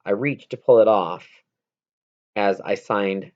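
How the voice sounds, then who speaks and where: A man reading a children's novel aloud as narration, in an expressive, amateur read-aloud manner. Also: fairly low pitch, slow pace, muffled recording. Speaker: audiobook reader.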